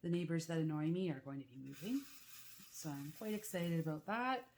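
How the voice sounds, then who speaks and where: A woman chatting casually at home in a meandering, confiding way, her voice rough and congested from a cold. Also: deep voice, a little fast, a little mellow, slight background noise. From Canada. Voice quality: rough, croaky. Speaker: craft vlogger. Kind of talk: casual chat while crafting. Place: at home with the back door open.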